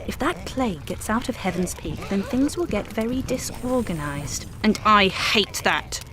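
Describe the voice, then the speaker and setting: A haughty young mistress in a fantasy game, played by a woman, speaks over clear background music. She is brisk and sardonic, with animated swings of pitch and a commanding, arch air. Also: lightly acted. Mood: disdainful, businesslike. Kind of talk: fantasy game character dialogue.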